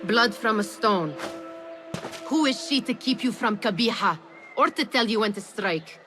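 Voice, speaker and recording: A woman's voice: fairly high pitch, expressive, a little slow, over music. A woman playing a probing, sardonic friend of an assassin in a video game, pointed and challenging. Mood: sardonic challenge. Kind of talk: dramatic video game acting.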